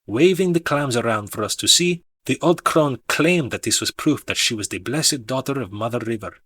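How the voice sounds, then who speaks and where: A man narrating an audiobook in a measured, dramatic storytelling manner. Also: mid-range voice, lively intonation, a bit bright, slightly rough, no echo. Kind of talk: expressive scripted audiobook narration.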